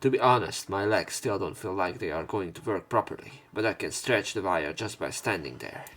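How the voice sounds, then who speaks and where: A man narrates a visual novel as a let's play host. His voice is fairly low, and he moves between dramatic reading and casual commentary over game music. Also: lively intonation, normal speed, fairly bright tone. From Hungary. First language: Hungarian.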